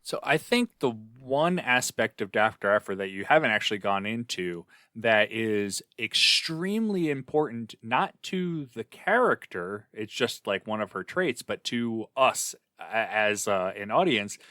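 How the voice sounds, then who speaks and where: A man co-hosting a casual book discussion with emphatic, halting phrasing. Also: on the low side, expressive, sharp tone, no room sound, studio quality. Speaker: podcast co-host.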